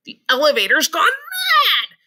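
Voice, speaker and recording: A woman voicing a panicky, excitable old grandfather who cries out in shrill shouts in a read-aloud storybook. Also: a little slow, exaggerated voice. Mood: panicked. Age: older.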